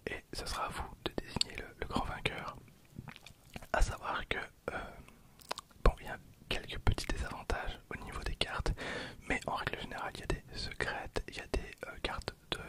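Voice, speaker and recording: Man in his twenties, breathy whisper, soft ASMR commentary, hesitant, repeats phrases. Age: 20s. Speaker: ASMR video host.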